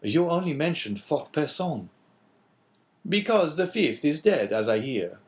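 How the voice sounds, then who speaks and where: A man reading an audiobook voices a calm, earnest priest in a solemn, measured way. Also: on the high side, voice pushed up. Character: calm, earnest middle-aged male priest.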